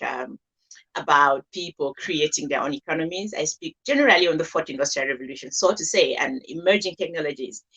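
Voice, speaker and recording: A woman's voice: low-pitched, pushed and bright, breathy edge, rough recording. A woman from Cameroon, an author and speaker, answering as a guest on a live-stream show in a friendly, easygoing way. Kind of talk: interview guest.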